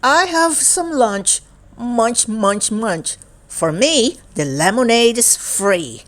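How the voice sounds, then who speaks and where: A woman reading a picture book aloud for young children in a deliberate, playful way, in short separated phrases. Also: fairly high pitch, animated, a bit bright. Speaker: children's storybook reader.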